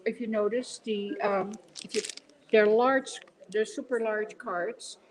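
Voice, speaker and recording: Dutch-accented woman, halting speech. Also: mid-range voice, steady tone, noisy background. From Netherlands. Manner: halting, with many fillers and restarts. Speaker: guest quilter and artist. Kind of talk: casual interview chat.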